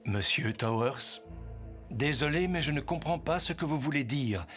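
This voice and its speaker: Cartoon casting: formal, polite gentleman executive, expressive, slightly high, over background music. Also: measured pace. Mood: composed. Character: courteous, dignified male executive, earnest and calm. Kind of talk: animated cartoon dub.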